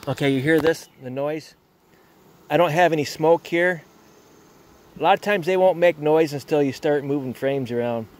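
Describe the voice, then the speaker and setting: A man's voice: on the high side, moderate pace, darkish tone, a little hiss or hum. An older man beekeeper chatting casually outdoors while working his hives, rambling with frequent restarts. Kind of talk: casual hands-on demonstration. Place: outdoors among beehives in a yard.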